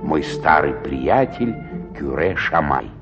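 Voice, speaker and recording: A man's voice: very deep voice, lively intonation, slow, over music, exaggerated voice. An actor playing a merry, talkative middle-aged joiner who loves drink, in a theatrical radio-play monologue, savouring his words and chuckling. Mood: exuberant. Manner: savouring, chuckling, rhetorical, swinging from murmurs to exclamations. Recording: dull lo-fi 1960s radio recording.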